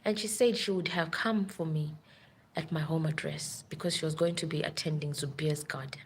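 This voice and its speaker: Low-voiced nurse rambling urgently. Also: female voice, steady tone, normal speed, a little hiss or hum. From Africa. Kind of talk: live-stream monologue.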